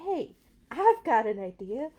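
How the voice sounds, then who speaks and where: A woman voicing a stubborn, childish storybook pigeon who wheedles and whines in dramatic, drawn-out pleas. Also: on the high side, big pitch swings, dark tone. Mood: wheedling, pleading. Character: pleading, stubborn, childish cartoon pigeon begging to drive. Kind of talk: animated storybook read-aloud.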